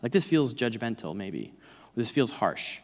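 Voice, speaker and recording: Male preacher, thirties, mid-pitched, conversational but emphatic, big-hall reverb. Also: very animated, normal speed. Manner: emphatic, dramatizes points with rhetorical questions. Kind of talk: conversational sermon. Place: large dark church stage, indoors.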